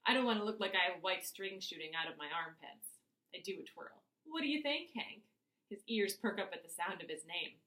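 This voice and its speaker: A woman reading a children's novel aloud as its author, with expressive first-person narration. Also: lowish voice, a little fast. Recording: echoey room.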